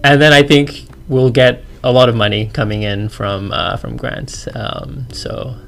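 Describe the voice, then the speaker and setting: A man's voice: mid-range voice, unhurried, vocal fry, fairly bright tone, soft background music. Chatty Australian-accented male lecturer. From China. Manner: chatty, self-deprecating, laughs easily. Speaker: guest lecturer. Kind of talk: casual podcast interview.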